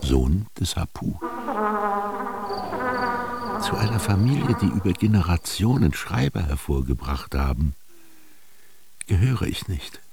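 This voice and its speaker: Very deep, brooding ancient Egyptian scribe. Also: male voice, very animated, creaky, darkish tone, faint music behind, exaggerated voice. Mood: solemn, declaiming. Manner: deliberate, declaiming, theatrical, swinging between murmur and proclamation. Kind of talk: literary radio drama monologue.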